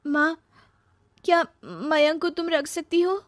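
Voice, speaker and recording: Woman acting as a restless young wife in a family story: high, dramatic, plaintive, recorded very dry. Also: takes it slowly, no echo. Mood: anxious, upset. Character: restless, lovestruck, troubled young married woman.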